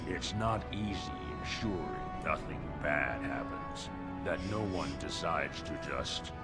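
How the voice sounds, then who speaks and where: An actor playing a menacing gang thug in a video game, speaking with mock politeness and open threat. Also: male voice, very deep voice, animated, a little slow, over loud music, heavily acted. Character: menacing male gang thug shaking down merchants. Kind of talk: video game character acting.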